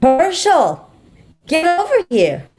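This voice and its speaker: A woman acts a pushy female matchmaker in a comedy script. She gushes at an unhurried pace, with very lively intonation. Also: very high voice, heavily acted. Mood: bossy summons. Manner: gushing, pushy. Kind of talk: screenplay table read acting.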